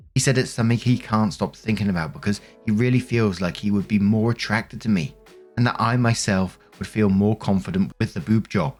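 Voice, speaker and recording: A man narrating online posts, reading steadily and adding brief casual asides. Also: on the low side. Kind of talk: reading posts aloud with commentary.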